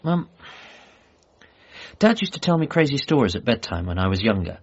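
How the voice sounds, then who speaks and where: An actor playing an eager, apologetic grown-up son in a radio comedy drama, in narration and dialogue. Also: male voice, medium pitch, big pitch swings, moderate pace, husky. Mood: gentle, careful. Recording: slightly muffled, faint music behind.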